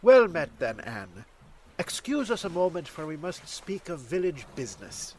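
A man's voice: a little high, sing-song, normal speed, slight background noise. A man playing a grave, courteous villager in an audio drama, speaking in a formal, old-fashioned way. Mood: hearty, courteous.

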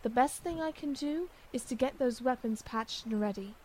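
A woman playing a loyal young blacksmith in an audio drama, calm and reassuring in manner. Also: on the high side, normal speed, close to an everyday voice. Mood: firm, concerned.

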